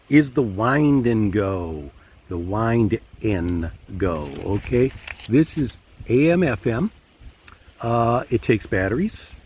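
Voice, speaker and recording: An older man presenting a casual product review, speaking deliberately and repeating key phrases for emphasis. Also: lowish voice, darkish tone, a little hiss or hum. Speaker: host reviewing gear.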